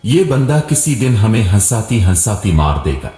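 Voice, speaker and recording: Man voicing an exasperated village friend, low, echoey. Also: big pitch swings, moderate pace, warm tone. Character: exasperated, cautious young male village friends. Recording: roomy echo with long reverb, music behind.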